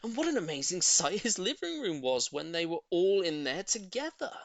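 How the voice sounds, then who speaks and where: A man reading a children's story in an expressive, theatrical narrating style. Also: sits high, moderate pace, vocal fry, dry recording. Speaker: audiobook reader.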